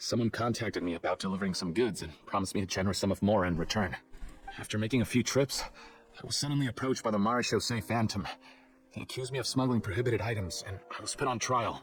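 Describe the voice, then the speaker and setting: An actor playing a cowardly, resentful small-time smuggler in a video game, defensive and prone to stammering, over music. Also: male voice, mid-range voice, lightly acted. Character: cowardly, resentful petty smuggler under questioning.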